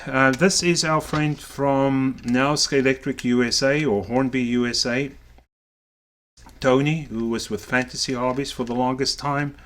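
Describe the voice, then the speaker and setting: Relaxed South African-Canadian man. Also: mid-range voice. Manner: rambling, filler-heavy, relaxed. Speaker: series organizer. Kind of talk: casual show-and-tell video.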